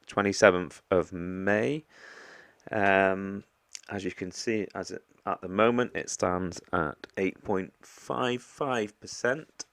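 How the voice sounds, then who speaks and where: A man hosts a casual investing vlog, his deep voice thick and stuffy from a heavy cold. He pauses often, restarting words and filling gaps with ums. The sound is dry and close, with no room around it. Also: vocal fry, no echo. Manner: hesitant, many ums and restarts. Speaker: investing vlogger. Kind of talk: casual portfolio walkthrough.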